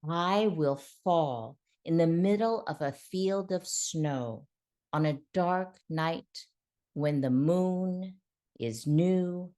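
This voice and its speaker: A woman voicing a gentle, sly fox trickster spirit in a storybook, soft and measured. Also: fairly low pitch, heavily acted.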